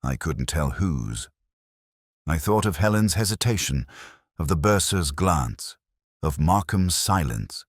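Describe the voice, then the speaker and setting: Brief: a man with a rumbling, very low voice, narrating a detective story in first person with measured, reflective delivery, recorded dry and close. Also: expressive, breathy edge, dry recording.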